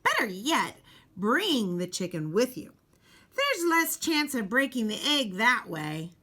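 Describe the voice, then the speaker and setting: A woman reads a children's picture book aloud in a high, bright voice. Her delivery is slow and very animated, with dramatic emphasis and deliberate pauses. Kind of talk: expressive children's storybook read-aloud.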